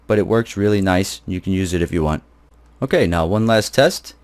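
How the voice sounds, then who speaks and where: A man with a fairly low voice works through a screencast tutorial as the instructor. He explains things step by step in an informal, conversational manner, and his phrasing is blunt and plain. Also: moderate pace, a little mellow.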